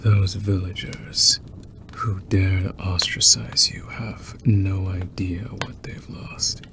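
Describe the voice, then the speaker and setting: Male actor as a possessive werewolf, low gravelly murmur, whispery, seductive menace, intimate ASMR roleplay. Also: steady tone. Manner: slow, teasing, menacing seduction.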